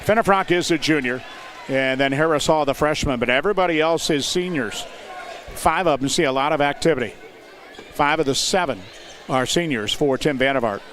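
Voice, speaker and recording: An American man doing live play-by-play commentary of high school basketball in clipped, descriptive phrases. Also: a little fast. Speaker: play-by-play announcer. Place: indoor high school gymnasium. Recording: noisy gym with loud crowd. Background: crowd noise and bouncing basketballs.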